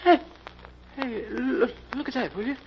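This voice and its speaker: Jumpy, high-voiced American crook. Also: male voice, a little slow, gravelly, full character voice. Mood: panicked. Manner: nervous, whining, stammering, slangy. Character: jumpy, superstitious small-time American crook, ex-convict. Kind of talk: old-time radio crime acting. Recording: muffled, hissy old radio recording.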